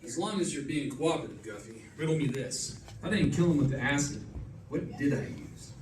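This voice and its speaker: A man's voice: mid-range voice, lively intonation, fairly quick, a little mellow, natural voice. A man playing a suave, unflappable, flippant amateur sleuth in old-time radio drama, with wry teasing banter. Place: live stage performance, mic at a distance. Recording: distant mic in echoey, noisy room.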